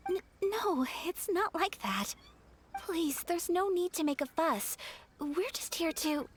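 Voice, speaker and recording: A woman voicing a gentle, polite and shy young noblewoman in a video game, speaking softly and courteously. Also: very high-pitched, lively intonation, slow pace, a little hiss or hum, heavily acted. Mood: flustered. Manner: soft, courteous, flustered at times.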